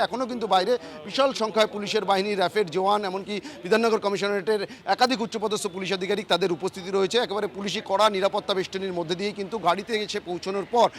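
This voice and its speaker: A man's voice: sits high, fairly bright tone. A man with a West Bengal accent giving a live outdoor news report, explaining emphatically and with repetition. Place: outdoors outside a court.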